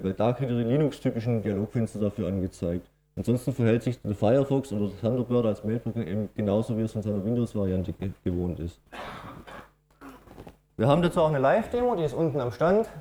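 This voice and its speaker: A man's voice: medium pitch, mellow, slight background noise. A middle-aged man with a Swabian accent giving a matter-of-fact technical conference presentation, with frequent fillers. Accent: Swabian German. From Swabia, Germany. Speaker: conference speaker.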